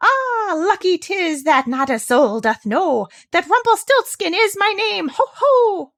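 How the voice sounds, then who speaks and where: A woman voicing a scheming little male mannikin from a fairy tale, shrill and theatrical. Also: very animated, a little slow, a bit bright, no echo, clean studio sound, full character voice. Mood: gleeful. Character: gleeful, scheming little old mannikin, quick to rage.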